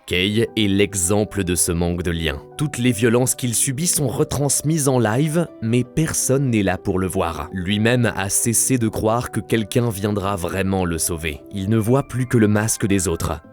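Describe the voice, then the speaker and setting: A man's voice: fairly low pitch, unhurried, few pauses. A man in his twenties narrating a scripted video essay with earnest, dramatic delivery. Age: 20s. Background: faint music.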